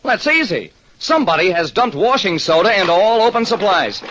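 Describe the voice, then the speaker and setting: Noisy old radio recording, a man as health inspector, raised pitch, businesslike. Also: sing-song, fairly quick, highs cut off. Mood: matter-of-fact. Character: matter-of-fact male health inspector. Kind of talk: 1940s radio acting.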